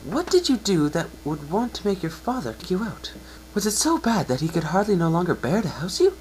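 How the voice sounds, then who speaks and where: A refined, concerned pony lady from a dramatic reading, acted out by a man. The pitch is raised well above a male voice. The delivery is formal and probing, with faint background noise. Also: big pitch swings, fairly quick, a little mellow, poor audio. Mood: concerned, questioning.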